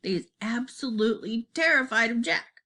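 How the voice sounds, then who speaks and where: Woman as friendly male giant, storybook, folksy, earnest, hushed, muddled grammar, highish pitch. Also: animated, moderate pace, exaggerated voice. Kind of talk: dramatized children's storybook reading.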